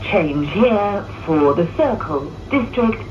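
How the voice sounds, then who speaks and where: Female train announcer, very unhurried and fairly low, through a dull, noisy speaker. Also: lively intonation. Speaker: railway announcer. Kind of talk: slow scripted station announcement.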